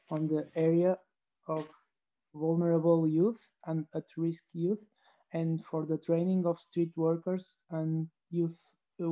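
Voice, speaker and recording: A man introducing himself and his youth organization at a panel, speaking in slow, halting phrases. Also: a little high, mellow, lo-fi sound. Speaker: NGO representative.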